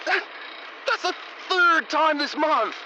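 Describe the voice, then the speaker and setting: A man playing a folksy, excitable horse masseur in a comedy audio drama. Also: very high-pitched, takes it slowly, noisy background, full character voice. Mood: dismayed. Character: chipper horse masseur, folksy and easily dismayed.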